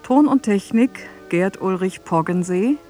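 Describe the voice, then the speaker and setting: A woman's voice: mid-range voice, expressive, measured pace, warm tone, soft background music. A woman announcer reading scripted credits for a radio play.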